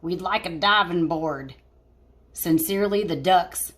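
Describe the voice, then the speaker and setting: A woman's voice: lowish voice, a little slow, pitched below the performer's own voice, natural voice. Politely demanding storybook duck, read by a woman. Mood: bored, polite.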